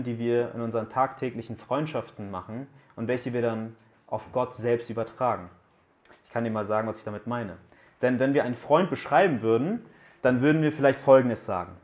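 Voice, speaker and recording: Man in his thirties preaching to youth. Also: mid-range voice. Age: 30s. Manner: casual, joking, asks the audience questions. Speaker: youth speaker at a camp. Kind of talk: sermon talk to young people. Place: room with a live audience.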